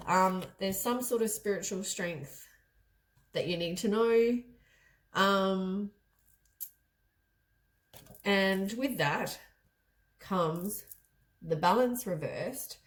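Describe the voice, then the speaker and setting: A woman at a mid-range pitch gives a casual tarot reading, her voice stuffy and a little low from a head cold. She talks loosely and rambles, often stopping to correct herself mid-sentence. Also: very slow, long pauses. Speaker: tarot reader.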